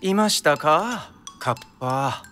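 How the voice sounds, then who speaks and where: An actor playing a polite, composed superior with a Kyoto accent, measured and courteous, in a radio drama. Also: male voice, on the high side, expressive, bright, forceful tone, soft background music, heavily acted. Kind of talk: Japanese radio drama acting.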